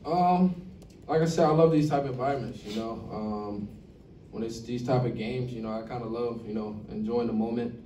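Young man answering at a podium, mellow voice. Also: mid-range voice. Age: young adult. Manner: loose, repeats "you know" constantly. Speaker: college basketball player. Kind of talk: postgame press conference answers. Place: press conference room. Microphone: table mic, recorded from across the room. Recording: echoey room, distant mic, faint hum.

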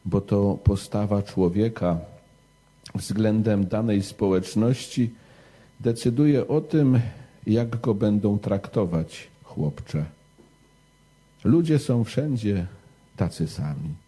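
A wise old grandfather from a bedtime story, voiced by a man. He is calm and measured, handing out lessons slowly. His voice is dark and husky. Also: on the low side. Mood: wise, gentle.

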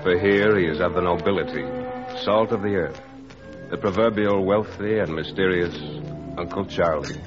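A man acting as a deep-voiced, ominous storyteller narrates a 1940s radio thriller with grand, solemn delivery. Loud music plays under the muffled old recording. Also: moderate pace, lightly acted. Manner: grandiose, literary.

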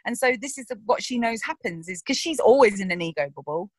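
Young woman, actress, casual interview, expressive, middle pitch, dry close recording. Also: a little fast, husky, no echo. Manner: animated, acts out quoted lines, laughs. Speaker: actress interviewed about her characters.

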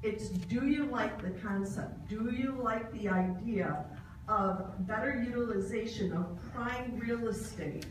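A woman's voice: medium pitch, measured pace, a little hiss or hum. A middle-aged woman on a committee, answering audience questions at a town hall and explaining the plan. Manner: explanatory, repeats key questions. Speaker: committee member presenting. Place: large meeting room, indoors. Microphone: handheld mic. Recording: echoey hall, taped from far off.

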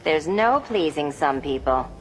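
A woman's voice: low voice, animated, unhurried, very noisy. An actress playing a sly, cocky female cat-burglar vigilante in a video game, with a teasing, purring delivery.

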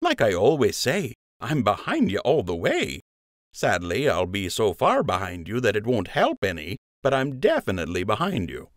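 A man's voice: lowish voice, wildly swooping pitch, normal speed, no room sound, studio quality. A man voicing a teasing young male rabbit friend who ribs with a smirk. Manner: smirking, playful ribbing.

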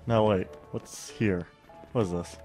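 A man's voice: on the low side, steady tone, measured pace, a little mellow, soft background music. A stuffy-sounding young man on a studio mic, casually rambling through gameplay commentary with lots of fillers and humming. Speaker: let's play host.

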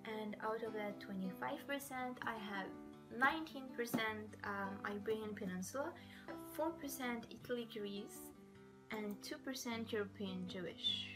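Young woman from Algeria, casual vlog, list-like delivery, higher voice, loud guitar bed. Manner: halting, list-like with fillers. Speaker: vlogger sharing test results. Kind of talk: casual reading of results. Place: indoor room. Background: guitar music.

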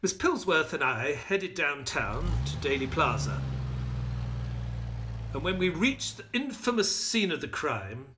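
Male, polite, excitable sidekick-narrator for audio play. Bright, hugely animated delivery. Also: on the high side, a little hiss or hum, exaggerated voice. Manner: expressive, polite, storytelling. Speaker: actor and narrator.

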